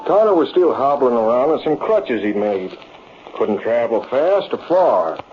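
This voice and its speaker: An actor playing a folksy cowboy storyteller with a rural Western American accent, narrating a yarn in old-time radio style. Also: male voice, medium pitch, unhurried. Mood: confiding. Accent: rural American West. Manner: folksy, animated yarn-spinning. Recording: muffled, noisy vintage radio recording.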